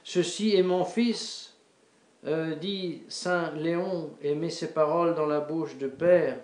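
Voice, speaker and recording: A middle-aged male priest preaching a sermon in a small chapel, halting and repeating words with many fillers. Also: a little high, fairly even intonation, darkish tone. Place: small wood-panelled chapel before an altar. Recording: echoey chapel.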